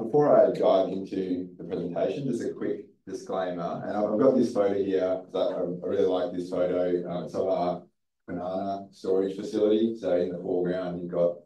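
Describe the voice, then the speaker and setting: An Australian man from Western Australia giving a seminar presentation in a low, mumbly voice, relaxed and full of ums. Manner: relaxed, many ums and restarts. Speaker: guest presenter. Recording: echoey room, distant from the mic.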